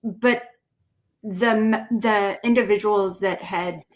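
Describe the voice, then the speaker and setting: A woman with an American accent, answering interview questions in a thoughtful, measured way and choosing her words carefully. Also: medium pitch, steady tone. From Bulgaria, grew up in the United States. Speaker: interview guest, writer and therapist. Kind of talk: reflective interview conversation.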